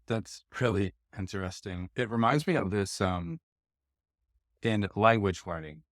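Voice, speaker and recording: A podcast host, a man with a fairly low voice, thinks aloud in long, searching sentences full of "um" and "right?". The recording is dry and close to the mic. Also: no room sound. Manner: rambling, searching for words, many "right?"s. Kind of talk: podcast interview, reflective questioning.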